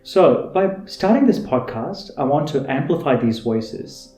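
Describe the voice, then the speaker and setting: A man's voice: on the high side, animated, unhurried, warm tone, reverberant, faint music behind. A man in his thirties from India, a native Tamil speaker, earnestly reading a scripted self-introduction as podcast host.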